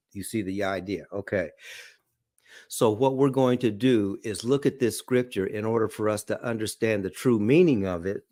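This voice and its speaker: Man, Bible study teacher, unhurried, deliberate, checks in with listeners, dry sound. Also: fairly low pitch, no echo. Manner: deliberate, checks in with "okay?" and "all right?"; reads scripture aloud slowly.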